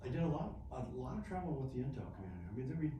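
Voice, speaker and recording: A man's voice: mid-range voice, very animated, racing, mellow. Middle-aged man, chatty veteran interviewee, laughs through his stories, miked from afar in a noisy room. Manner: chatty, laughs often, restarts mid-phrase. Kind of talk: casual storytelling interview. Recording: echoey room, distant mic, noisy.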